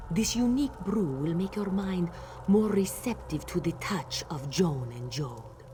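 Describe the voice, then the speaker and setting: A woman voicing a solemn cat-folk priestess leading sacred rites in a fantasy video game, with measured ceremonial delivery. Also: lowish voice, mellow, a little hiss or hum.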